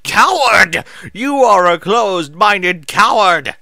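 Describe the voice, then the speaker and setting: A man's voice: sits high, animated, slow pace, vocal fry, bright, forceful tone, no room sound, clean studio sound, pitched above the performer's own voice, exaggerated voice. A man voicing an anguished young female alicorn, hurt and furious, wailing and screaming accusations in a fantasy story reading.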